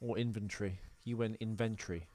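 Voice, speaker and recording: A man's voice: on the low side, fairly even intonation, brisk, a little mellow. A man hosting a podcast, explaining things in casual, digressive film chat. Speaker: podcast host.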